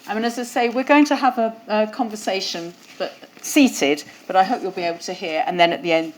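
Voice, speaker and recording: A woman's voice: mid-range voice, fairly quick, a little hiss or hum. A woman hosting a museum event and introducing speakers, talking conversationally with some fillers into a lapel mic. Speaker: event host. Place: event hall.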